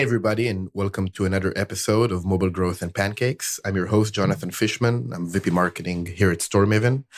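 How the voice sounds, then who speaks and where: A man hosting a podcast, who stumbles and repeats words as he asks his questions. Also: sits low. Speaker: podcast host. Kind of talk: casual interview talk.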